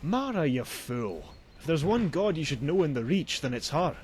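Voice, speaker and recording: Sardonic fantasy game traveler. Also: male voice, on the high side, big pitch swings, moderate pace, slight background noise. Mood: sardonic, teasing. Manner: sardonic, theatrical, old-fashioned turns of phrase. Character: wry, cynical male traveling merchant, grim about bandits. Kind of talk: voiced video game dialogue.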